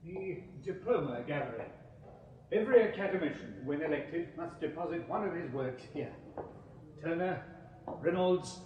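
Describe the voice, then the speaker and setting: An actor as a pompous, highish-voiced English inspector. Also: male voice, lively intonation, moderate pace, dull audio, a little hiss or hum, natural voice. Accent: upper-class English. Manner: pompous, condescending, formal. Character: pompous, snobbish Victorian Scotland Yard police inspector. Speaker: actor in a radio play. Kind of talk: 1980s British radio drama acting.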